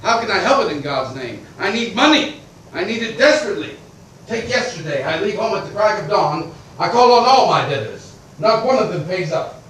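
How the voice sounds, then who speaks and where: An actor playing an irascible middle-aged landowner who rants and blusters, swinging between shouting and grumbling. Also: male voice, high-pitched, big pitch swings, moderate pace, very echoey, distant mic, slight background noise, poor audio. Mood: exasperated. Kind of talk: theatrical stage acting.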